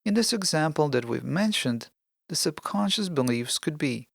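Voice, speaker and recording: A podcast host giving scripted self-help narration in a measured, deliberate, instructional way. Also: medium pitch, expressive, creaky, dry recording, clean studio sound.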